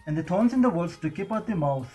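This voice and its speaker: Low, mellow woman reading a story. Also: expressive, fast talker, soft background music. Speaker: story reader. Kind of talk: read-aloud children's bedtime story.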